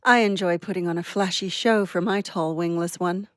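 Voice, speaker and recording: A woman's voice: fairly low pitch, steady tone, normal speed, no room sound, clean studio sound, natural voice. A woman playing a poetic, philosophical little warbler in an audio drama, with a lyrical, declamatory delivery.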